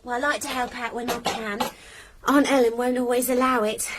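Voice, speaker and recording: A woman's voice: a little high, little pitch movement, a little fast, background noise, lightly acted. An actress playing a polite, innocent young girl with a respectful, eager manner.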